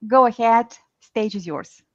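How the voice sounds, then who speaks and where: A woman's voice: a little high, a little slow, no room sound, lo-fi sound. Chatty woman host, Eastern European accent. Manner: chatty, many restarts and fillers. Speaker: podcast host. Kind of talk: casual conversation.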